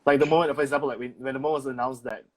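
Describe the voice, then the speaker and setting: A man's voice: mid-range voice, fairly even intonation, rapid-fire. A man hosting a casual interview, rambling through long questions full of "like". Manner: rambling, many "like" fillers. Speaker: host.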